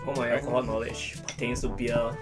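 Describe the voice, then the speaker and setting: A man speaking as a talk show guest, explaining things casually with halting phrasing and stammered repeats. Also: mid-range voice, little pitch movement, over loud music.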